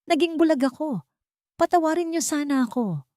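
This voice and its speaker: A woman's voice: high-pitched, animated, moderate pace, dark tone, no echo, clean studio sound, voice pushed up. A woman voicing a humbled wealthy grown son, earnest and pleading, in an audio drama. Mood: remorseful. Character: remorseful rich grown son, humbled male boss.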